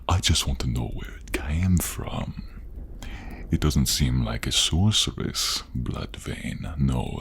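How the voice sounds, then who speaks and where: An imperious, blood-hungry inquisitor who is not human. The voice is extremely low and gravelly, drawing words out very slowly. He savors and condescends in fantasy role-play style, over faint background ambience. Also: a little hiss or hum, full character voice. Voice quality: very deep, gravelly, breathy. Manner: slow, savoring, commanding, condescending. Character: menacing, blood-tasting inhuman grand inquisitor, male, hungry and imperious.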